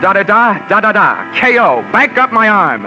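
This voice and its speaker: A man's voice: high-pitched, big pitch swings, moderate pace, over music. An actor playing a wisecracking insurance investigator, narrating his case in clipped, slangy 1940s hard-boiled radio style. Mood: urgent narration. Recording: muffled vintage radio recording.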